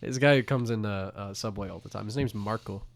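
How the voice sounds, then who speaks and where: A man's voice: on the low side, quick pace, vocal fry. A young man with a light Southern accent, co-hosting a casual podcast chat and laughing often. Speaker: podcast co-host.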